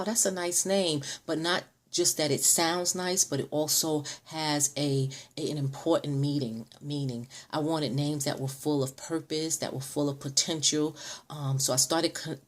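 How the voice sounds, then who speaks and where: Low-voiced chatty woman in her forties. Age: 40s. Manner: chatty, many ums and restarts. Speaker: vlogger. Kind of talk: casual direct-to-camera vlog.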